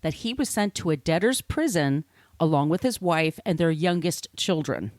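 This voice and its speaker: A woman's voice: deep voice, expressive, moderate pace, a little mellow, no echo, clean studio sound. A woman hosting a podcast, reading scripted narration with casual, irreverent asides. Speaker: podcast host.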